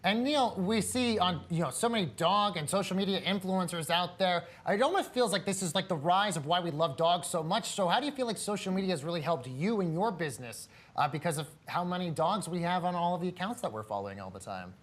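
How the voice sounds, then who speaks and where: A man's voice: sits high, lively intonation, fairly quick, hardly any pauses. A man co-hosting a live TV business segment, asking chatty, conversational questions. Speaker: TV news co-host.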